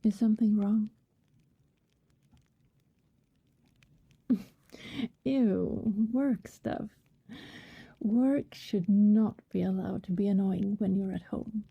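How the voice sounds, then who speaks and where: A woman playing a coy, affectionate vampire neighbor in an intimate ASMR roleplay, speaking gently and hesitantly, often dropping to a whisper. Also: mid-range voice, fairly even intonation, slow pace, gravelly, husky, narrow, tinny audio, full character voice. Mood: gently concerned.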